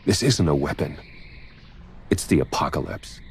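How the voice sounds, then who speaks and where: Low-voiced wry male agent. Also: lively intonation, moderate pace, a little mellow, slight background noise. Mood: grave. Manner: wry, casual. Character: wry male agent, a soldier's casual second-in-command. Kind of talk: cinematic game dialogue.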